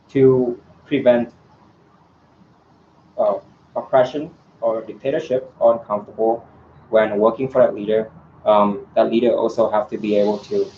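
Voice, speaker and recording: A man's voice: lowish voice, dark tone, slight background noise. A man answering candidate questions at a student election forum, hesitant and full of ums and restarts. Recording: echoey room, dull lo-fi sound.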